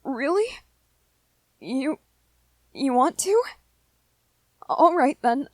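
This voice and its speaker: A woman voicing a shy, smitten young male vampire in a romantic ASMR roleplay, gentle and hesitant, often stammering over repeated words. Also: sits high, animated, very slow, dry recording, clean studio sound, exaggerated voice. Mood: excited.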